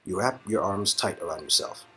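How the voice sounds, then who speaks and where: Husky man narrating a story game. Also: sits low, a little fast, bright, forceful tone. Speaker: co-host, narrator. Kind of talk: playthrough narration with casual banter. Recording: music in background.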